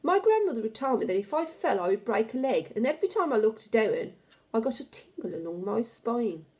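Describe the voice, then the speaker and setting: Woman, storybook narrator, mellow tone, animated melody, dramatic and deliberate delivery. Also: on the high side. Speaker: teacher reading a children's novel aloud.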